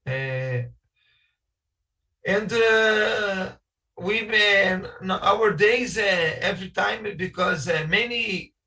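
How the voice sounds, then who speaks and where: A man with a Brazilian Portuguese accent works through slow, broken English, often stopping to hunt for the right word. His voice is bright and slightly high. He sounds a bit distant from his video-call mic. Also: lively intonation, faint music behind. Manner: searching for English words, many hesitations and restarts. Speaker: architect guest speaker. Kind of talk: halting webinar talk in a second language.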